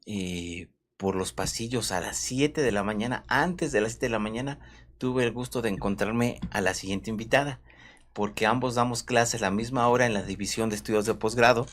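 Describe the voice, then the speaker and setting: A man's voice: lowish voice, moderate pace, slight background noise. A man, a law professor hosting a panel, who welcomes his guests cordially and keeps the talk moving with short affirmations.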